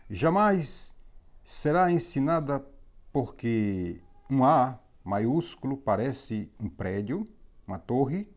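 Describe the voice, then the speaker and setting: A man teaching a religious lesson on Hebrew letters, explaining methodically with pauses and repetition. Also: medium pitch, darkish tone. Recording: slightly dull lo-fi recording.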